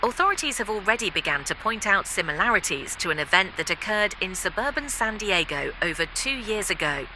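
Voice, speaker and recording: A woman's voice: mid-range voice, big pitch swings, fairly quick, few pauses, noisy background, natural voice. A woman playing a composed local TV news anchor, reading a scripted report. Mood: serious, measured.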